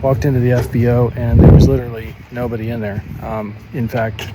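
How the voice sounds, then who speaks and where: A man talking casually and off the cuff as a pilot vlogger, chatty, with hesitant pauses. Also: mid-range voice, little pitch movement. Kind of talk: casual unscripted vlog talk to camera. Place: outdoors at a small airport. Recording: loud vehicle and outdoor airfield noise.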